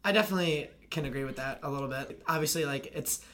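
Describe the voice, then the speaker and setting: Deep raspy young woman, rapid chatter. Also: a bit bright. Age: young adult. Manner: fast rambling with constant "like". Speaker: college lacrosse player and video creator. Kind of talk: casual podcast chat.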